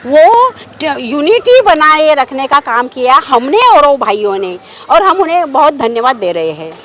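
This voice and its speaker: A woman with a Marathi accent, a party worker, answering a news interview emphatically while haltingly searching for words. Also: high-pitched, sing-song, a bit bright. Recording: outdoor street noise, passing vehicles.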